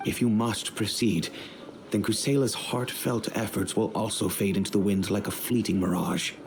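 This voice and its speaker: An actor playing a grave middle-aged father and head of a noble family, speaking in a measured, solemn, storytelling way over game music. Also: lowish voice, fairly even intonation, a little hiss or hum, natural voice.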